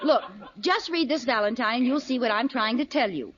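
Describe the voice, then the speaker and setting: An actress playing a wry, easily flustered housewife in an old radio sitcom, with exclamatory comic timing, on an old recording. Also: female voice, a little high, fairly quick. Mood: flustered, exasperated. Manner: animated, exclamatory comic delivery. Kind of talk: 1940s-50s radio sitcom acting. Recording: muffled noisy old radio recording, studio audience.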